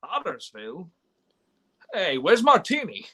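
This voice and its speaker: A man's voice: high-pitched, wildly swooping pitch, a little slow, pushed and bright, slightly rough, full character voice. A man playing a despairing, folksy everyman who stammers, in a live reading of an old-time radio play. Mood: flustered. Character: despairing, stammering everyman wishing he was never born.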